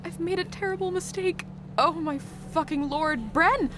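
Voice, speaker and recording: An actress playing a young, outspoken rookie tour guide with slangy, blunt delivery in a radio play. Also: female voice, very high voice, moderate pace. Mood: rattled, panicky. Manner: slangy, candid, blunt asides. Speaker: actor in a podcast radio play. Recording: street and vehicle noise behind voice.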